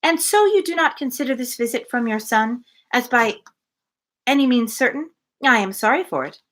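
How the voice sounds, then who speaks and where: A woman voicing a genteel, strong-willed young gentlewoman in a storybook reading, with formal, measured phrasing. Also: a little high, expressive. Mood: polite, probing.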